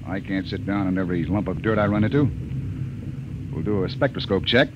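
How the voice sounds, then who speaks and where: A man playing a gruff, impatient freighter captain in an old-time radio drama, brusque and commanding. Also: low-pitched, fairly quick, close to an everyday voice. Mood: gruff, irritated. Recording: noisy, muffled old radio recording.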